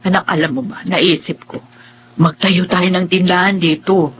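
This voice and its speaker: A woman's voice: fairly low pitch, steady tone, moderate pace, a little hiss or hum, natural voice. A woman acting an anxious, easily moved mother in a Filipino radio drama. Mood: delighted, hopeful.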